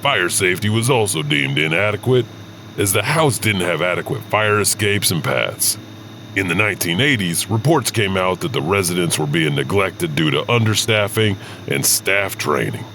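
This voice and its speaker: Deep, animated male horror narrator. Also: moderate pace, slight background noise. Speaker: narrator and host. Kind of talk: scripted horror anthology narration.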